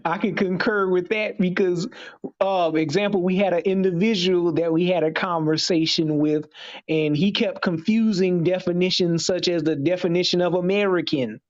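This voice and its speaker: A man in his late twenties explaining his views in a halting, deliberate way as a guest in an online discussion. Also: high voice, fairly even intonation, highs cut off. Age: 20s.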